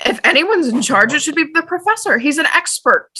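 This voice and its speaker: A woman's voice: high-pitched, big pitch swings, fairly quick, sharp tone, full character voice. A woman playing a frightened, insistent mother who accuses others in an amateur table read. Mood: accusing. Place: remote video call.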